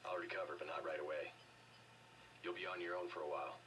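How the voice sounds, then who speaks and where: An actor playing a weary, wounded, calmly sardonic male agent in a video game, on a noisy distant capture. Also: very deep voice, fast talker. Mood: weary, grim.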